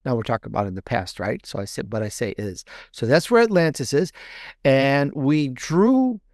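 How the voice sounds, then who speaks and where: A man's voice: medium pitch, animated, moderate pace, darkish tone, dry recording, clean studio sound. A man speaking as a guest author in a conversational interview, explaining things in an enthusiastic, rambling way. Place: video interview with book backdrop.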